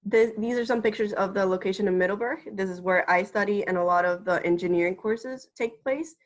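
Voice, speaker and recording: An eighteen-year-old woman from the Dominican Republic presenting a webinar as a student, steady and explanatory. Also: medium pitch, fairly quick.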